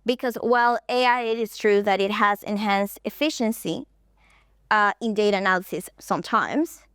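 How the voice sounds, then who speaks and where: Woman presenting, smooth clear voice. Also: medium pitch, normal speed, fairly bright tone, dry recording, studio quality. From Colombia. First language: Spanish. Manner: explanatory, walking through points in order. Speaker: conference presenter. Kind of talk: stage presentation.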